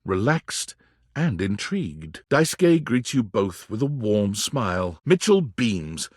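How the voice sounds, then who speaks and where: An actor playing a suave storyteller, reading polished second-person narration for a video game. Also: male voice, lowish voice, sing-song, takes it slowly, no room sound, clean studio sound, full character voice. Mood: descriptive, savoring. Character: suave male second-person narrator savoring lush descriptions.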